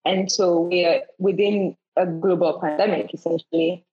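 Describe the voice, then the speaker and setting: A woman from Ghana, a guest in a podcast interview, telling travel stories in an animated way, acting out the voices she quotes and often restarting her sentences. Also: fairly low pitch, moderate pace, slightly rough. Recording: dull lo-fi audio.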